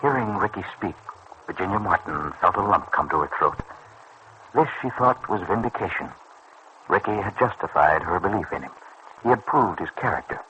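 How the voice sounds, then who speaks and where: A man narrating a scripted radio serial in a measured, dramatic storytelling manner. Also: deep voice, expressive, sharp tone, highs cut off. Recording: noisy old radio recording.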